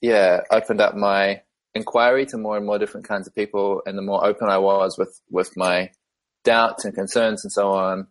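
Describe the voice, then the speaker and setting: A man with a New Zealand accent and a lowish voice, talking as a podcast guest. He speaks in a thoughtful, measured way and often pauses on "um" while he finds the next thought. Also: flat delivery. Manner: thoughtful, measured, with frequent ums. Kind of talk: casual interview conversation.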